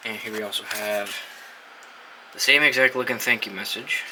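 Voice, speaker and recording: A young man with a fairly low voice hosts a casual unboxing video. He talks in an offhand, wry way with casual swearing. The recording is very noisy. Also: steady tone, moderate pace. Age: young adult. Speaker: hobbyist video host.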